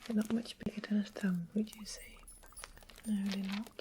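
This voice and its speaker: A woman playing a sweet-seeming witch who makes potions, whispering gently as she explains things in a fantasy ASMR roleplay. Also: mid-range voice, fairly even intonation, normal speed, lightly acted. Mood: gentle, hushed. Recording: close whisper with clear background hiss.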